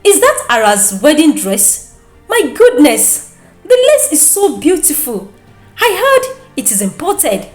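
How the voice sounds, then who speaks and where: A woman's voice: high-pitched, huge pitch swings, a little slow, reverberant, soft background music, pitched above the performer's own voice, heavily acted. A woman with a Nigerian accent voicing a scheming, nosy young female friend in a gossipy, theatrical, goading manner. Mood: gossipy, admiring.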